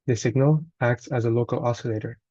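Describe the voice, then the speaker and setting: A man reads a scripted technical walkthrough as a student presenter, somewhat haltingly, sometimes stumbling and correcting himself. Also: mid-range voice, steady tone, warm tone, no room sound, muffled recording. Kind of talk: scripted technical narration, read aloud.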